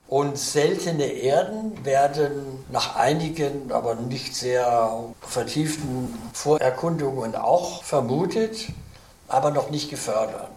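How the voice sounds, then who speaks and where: A man from Cologne answering interview questions in a measured, lecturing way, with asides and restarts. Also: medium pitch, a little mellow, reverberant, a little hiss or hum. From Cologne, Germany. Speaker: interview guest.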